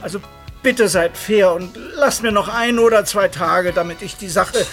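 Young male lover, high pitch, imploring, earnest, audio drama, faint music. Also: normal speed, a bit bright. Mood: pleading, earnest. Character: earnest young man pleading for a chance in love. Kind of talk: German audio drama acting.

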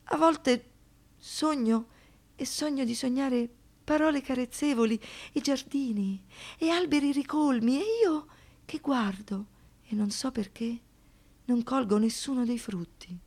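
A woman's voice: high-pitched, measured pace. An actress playing a traumatised young woman who survived a brutal assault, in a poetic, declamatory radio monologue, anguished and defiant. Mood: desolate. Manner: dramatic, swinging between hushed reflection and impassioned outbursts.

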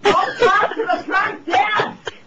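Casting: older female hotel guest on the phone, very high-pitched, dull and noisy recording. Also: steady tone, slow pace. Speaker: hotel guest targeted by prank call. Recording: muffled, very noisy telephone line.

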